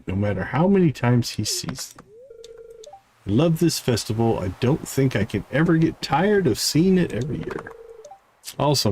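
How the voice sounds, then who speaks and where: A man's voice: mid-range voice, darkish tone, a little hiss or hum, rough recording. A man livestreaming a game, reading character dialogue aloud with stumbles and short asides. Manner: reads on-screen text aloud with stumbles and asides. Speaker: game streamer.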